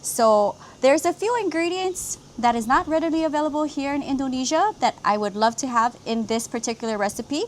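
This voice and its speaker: A woman's voice: high-pitched, lively intonation, brisk, hardly any pauses, a little hiss or hum. A woman from Hawaii hosting a cooking vlog, explaining each step of a simple recipe in an upbeat way. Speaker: cooking channel host.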